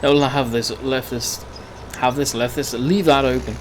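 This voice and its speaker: A man talks his way through a game in a relaxed, wandering way, pausing to fix his own words as he goes. His voice sits at an ordinary pitch and is a little croaky. A faint engine hum from the game runs underneath. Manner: rambling, chatty, self-correcting. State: slightly croaky voice. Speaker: gaming video host. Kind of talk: casual gameplay commentary.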